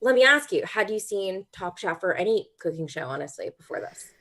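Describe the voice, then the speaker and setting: A woman's voice: medium pitch, a little fast. A woman hosting a casual comedy livestream chat, exclaiming and making fun of herself as she goes. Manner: animated, exclaiming, self-mocking. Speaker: host of a cooking-show discussion stream.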